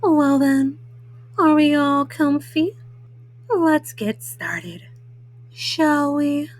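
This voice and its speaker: A woman reading a children's picture book as a storytime host, with measured, child-directed delivery. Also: sits high, no room sound.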